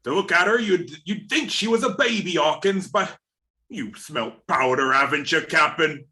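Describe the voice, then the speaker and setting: A man playing a wily old pirate sea cook in a radio play reading, with folksy, drawn-out sailor talk. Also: fairly high pitch, animated, pushed and bright. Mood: relishing, boastful. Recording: remote video-call reading.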